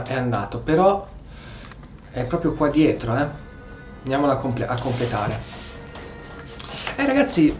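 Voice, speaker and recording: A man's voice: medium pitch, darkish tone. Italian man commentating in a roomy, dull recording. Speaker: gameplay streamer. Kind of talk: casual role-play game commentary. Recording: dull, roomy mic over game music. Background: game music.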